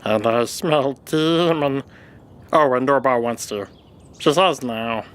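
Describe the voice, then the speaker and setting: A woman's voice: very deep voice, slow pace. A woman voicing a small, impish, strong male servant creature in an audio drama, sly and servile, with simple third-person phrasing. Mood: impish, eager.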